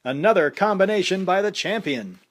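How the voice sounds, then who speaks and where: Man reading a fight announcer, excited, pitched up, shouting the action, audiobook. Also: normal speed. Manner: breathless play-by-play.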